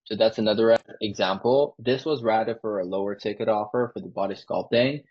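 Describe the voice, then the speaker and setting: Man, sales closer. Low, husky voice, informal pitch style, muffled call recording. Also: brisk. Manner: informal, peppered with "like". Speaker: sales closer for a marketing agency. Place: online sales call with screen sharing. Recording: dull lo-fi call audio.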